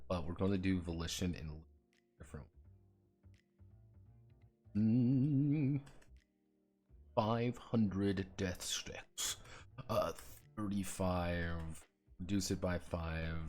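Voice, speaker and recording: Man, lowish voice, casual, thinking aloud, mumbling numbers, humming, soft music in the background. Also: takes it slowly, long pauses. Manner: muttering and humming while working out numbers. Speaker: streamer designing a tabletop game.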